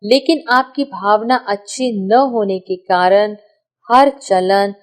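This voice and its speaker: A woman reads a spiritual discourse from a script. Her delivery is very slow and deliberate, broken into short phrases with pauses, at a medium pitch for a woman. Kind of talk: scripted devotional reading.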